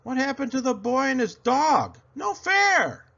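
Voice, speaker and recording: A man's voice: extremely high pitch, sing-song, a little slow, gravelly, sharp tone, dull audio, pitched above the performer's own voice, heavily acted. A man voicing an indignant first-grade girl in a storybook, complaining with whiny, exaggerated emphasis. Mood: outraged.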